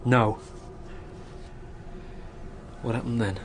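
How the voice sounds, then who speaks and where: A man's voice: medium pitch, fairly even intonation, very slow. An actor playing an anxious, touchy, sceptical stranded man, edgy and plaintive, in a radio drama. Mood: nervous curiosity. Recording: noisy old radio drama recording.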